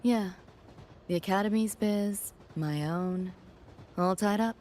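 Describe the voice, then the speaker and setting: A woman's voice: mid-range voice, takes it slowly, dark tone, a little hiss or hum, natural voice. A woman playing a cool, wry young mercenary in a video game, guarded but caring, with clipped, slangy lines. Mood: wistful, resigned. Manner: laconic, clipped slangy delivery. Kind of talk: video game story dialogue.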